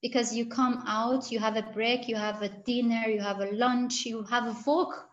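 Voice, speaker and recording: Woman researcher with a fairly high voice. Gilaki speaker, careful and halting, on lo-fi video-call audio. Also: little pitch movement. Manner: halting, searching for words, many restarts. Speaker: interviewed researcher. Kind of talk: interview answers.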